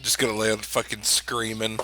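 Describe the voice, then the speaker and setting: A man's voice: fairly low pitch, level tone, a little fast, pushed and bright, soft background music, low-quality recording. A man playing a tabletop game, trading casual, sweary banter with the others.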